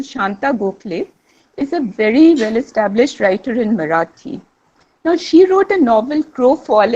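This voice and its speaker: Lively high-voiced woman panelist. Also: lo-fi sound. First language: Bengali. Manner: rambling, enthusiastic, with self-corrections. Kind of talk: literary panel discussion.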